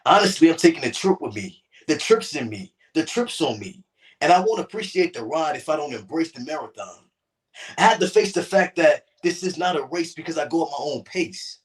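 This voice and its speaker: Earnest man, fairly high for a man, a bit off-mic; conversational interview guest. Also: lively intonation, fairly quick, distant mic, poor audio. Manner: earnest, repeats words at starts, says "you know what I'm saying". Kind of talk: casual interview talk and poetry reading.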